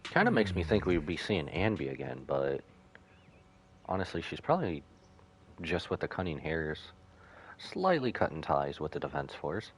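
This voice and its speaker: A man's voice: extremely low pitch, sing-song, normal speed, pauses often, faint music behind. A man giving casual, slangy playthrough commentary on a video game as a streamer. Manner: informal, slangy reactions. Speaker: gaming streamer. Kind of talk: casual playthrough commentary, reading dialogue aloud.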